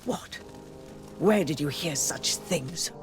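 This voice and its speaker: Imperious Mother Cardinal, lively intonation. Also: low-pitched, slow pace. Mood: stern, indignant. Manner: authoritative, formal, commanding. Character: stern, imperious high churchwoman, a Mother Cardinal. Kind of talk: video game dialogue acting. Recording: music behind the voice.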